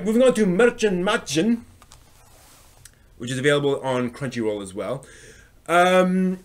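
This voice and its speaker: A man hosting a live stream, giving casual unscripted review commentary in a halting, discursive way, often circling back to rephrase. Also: mid-range voice. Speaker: host of an anime news and review live stream.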